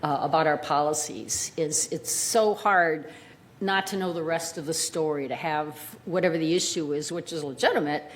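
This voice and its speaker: A woman answering off the cuff as a candidate at a public meeting, speaking haltingly and searching for words. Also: low-pitched. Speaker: board candidate at a community forum. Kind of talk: impromptu spoken answer at a meeting.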